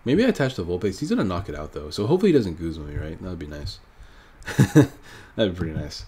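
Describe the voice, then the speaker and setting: A man's voice: sits low, sing-song, quick pace, mellow, breathy edge. A man with a stuffy, nasal voice from a cold, casually thinking aloud while commenting on his own card game match. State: sick, stuffy nose. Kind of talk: live gameplay commentary.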